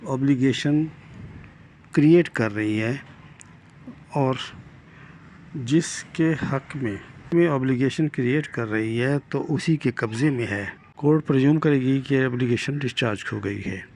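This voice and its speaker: Slow-paced older Pakistani man lecturing. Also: mid-range voice, a little mellow, slight background noise. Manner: deliberate, repeats and rephrases points. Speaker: retired judge teaching law. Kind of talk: explanatory law lecture, reading statute and explaining.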